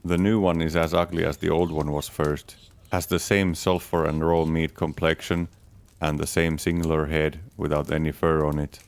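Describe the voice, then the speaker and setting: A man giving a measured, deadpan first-person reading of a comic diary, speaking as its writer. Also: extremely low pitch. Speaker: audiobook reader. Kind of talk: dramatic reading of a diary as Adam.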